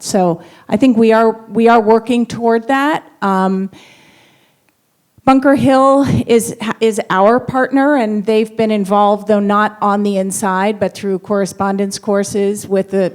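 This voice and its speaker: A woman speaking as a faculty panelist, measured and halting with fillers. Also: medium pitch, a little mellow. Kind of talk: panel discussion answer. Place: university event room.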